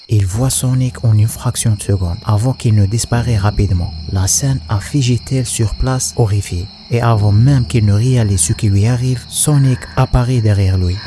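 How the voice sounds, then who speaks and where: A man's voice: fairly low pitch, noisy background. A man delivering scripted explanatory narration in the manner of a horror video recap.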